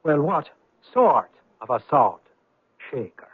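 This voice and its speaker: Teasing police sergeant, swooping pitch. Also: male voice, sing-song, slow, husky, no echo, heavily acted. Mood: probing, puzzled. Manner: mock-official, wry, questioning. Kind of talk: old-time radio drama acting. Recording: dry vintage radio recording, muffled.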